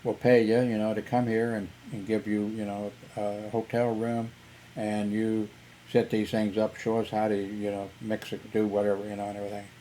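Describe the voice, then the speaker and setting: A man's voice: monotone, normal speed, mellow, slight background noise, natural voice, rough recording. A man voicing a male mob caller with a put-on Italian accent, coaxing and offering a deal. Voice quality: higher, put-on voice.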